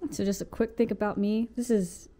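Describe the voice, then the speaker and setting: A woman's voice: medium pitch, fairly even intonation, a little fast, dark tone. A young adult woman with a youthful voice, chatting informally and rambling through a casual vlog. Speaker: vlogger and convention artist.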